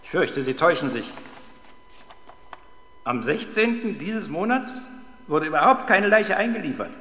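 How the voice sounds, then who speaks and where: A prim, easily flustered pathologist, in a reverberant hall. His voice is high and bright, his manner formal and fussy, in vintage radio-play style. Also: very animated, measured pace. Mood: politely dismissive. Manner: formal, fussy, stammers when pressed. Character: prim, flustered male pathology doctor, officious. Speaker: actor in a radio crime play. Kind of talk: 1970s German radio drama acting. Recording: echoey hall, noisy old radio recording.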